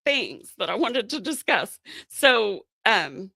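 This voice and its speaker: A woman's voice: medium pitch, sing-song, slow pace, creaky, bright, forceful tone, dry recording, studio quality. A woman hosting a casual live-stream chat on her channel, losing her voice and sounding scratchy, rambling along with plenty of fillers. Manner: chatty, rambling with many fillers. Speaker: channel host. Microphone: podcast microphone.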